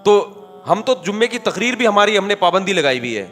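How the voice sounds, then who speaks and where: Animated preacher man in a reverberant hall. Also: high-pitched, a little fast, soft background music. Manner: animated, storytelling with quoted dialogue and rhetorical questions. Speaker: Islamic preacher. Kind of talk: conversational religious talk.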